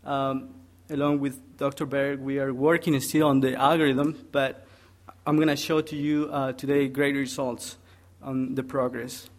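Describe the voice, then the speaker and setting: A young man from Peru presents a technical topic at a conference. He talks haltingly, with many ums, walking through each point step by step. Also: medium pitch, little pitch movement.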